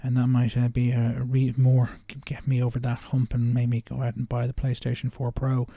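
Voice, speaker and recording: A man's voice: medium pitch, completely flat delivery, moderate pace, no echo, narrow, tinny audio. A man giving casual, unscripted video game commentary as a reaction video host, hesitant and rambling, often circling back to rephrase.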